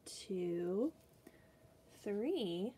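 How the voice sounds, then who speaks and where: Young woman teacher, storytime for little kids, patient and soft-toned, faint hiss behind. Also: mid-range voice, lively intonation, low-quality recording. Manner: slow, patient, sing-song counting. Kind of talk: reading a picture book aloud and counting for young children. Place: small room indoors.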